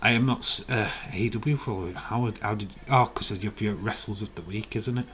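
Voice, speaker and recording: A man with a croaky, hoarse voice, hosting a casual live stream, chatting in a rambling way and reading out viewer comments. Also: lowish voice, brisk, a bit bright, a little hiss or hum.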